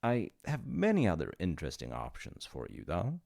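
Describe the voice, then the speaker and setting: A flustered, chatty demigod of reincarnation, acted by a man, speaking straight to the listener in a comic roleplay. The voice is on the low side with a gravelly fry, and it swings animatedly up and down. The delivery is unhurried and halting, full of sheepish apologies and salesman-like coaxing. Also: wildly swooping pitch, darkish tone, exaggerated voice. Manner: awkward, stammering, apologetic salesman-like patter. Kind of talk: comedic audio roleplay addressed to the listener.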